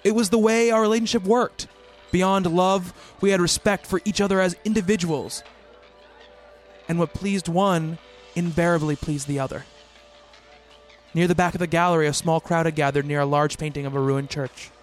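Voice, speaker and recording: Casting: male actor as bookish, devoted gentleman doctor, high-pitched voice, measured storyteller, radio drama over music. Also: steady tone, lightly acted. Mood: wistful narrating. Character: earnest, bookish male doctor, devoted fiancé narrating his tale. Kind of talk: radio play narration and dialogue.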